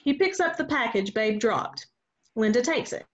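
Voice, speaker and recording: Lively high-pitched woman narrator. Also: normal speed. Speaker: narrator reading stage directions. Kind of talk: scripted narration, play table read. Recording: dull lo-fi online call sound.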